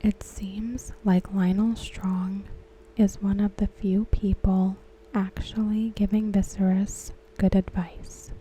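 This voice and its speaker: A woman whispering softly and unhurriedly in an ASMR style, talking about a TV episode. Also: medium pitch, little pitch movement, slow pace, a little hiss or hum, poor audio. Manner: soft unvoiced whisper throughout. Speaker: ASMR creator discussing a TV episode.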